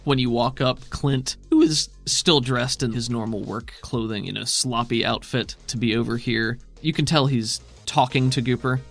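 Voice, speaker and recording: A man with noticeable vocal fry acts as game master. He describes scenes in a loose, conversational style at a middling pitch. Soft music plays behind him. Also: normal speed, hardly any pauses. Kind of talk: casual improvised narration of a tabletop roleplaying game.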